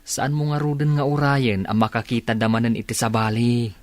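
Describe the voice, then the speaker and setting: A man's voice: mid-range voice, little pitch movement, brisk, no room sound, lightly acted. An actor with an Ilocano accent playing a concerned young man who urges his friend on in a radio drama. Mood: urging, concerned. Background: music.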